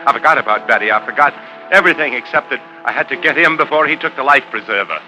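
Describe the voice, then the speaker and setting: A man's voice: mid-range voice, lively intonation, quick pace, sharp tone, poor audio. A man playing a ruthless, self-justifying husband turned killer, bitter and desperate, in intense, melodramatic 1950s radio thriller style. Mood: hushed, grim. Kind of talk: 1950s radio thriller acting, first-person narration and dialogue. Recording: 1950s radio recording with dramatic music.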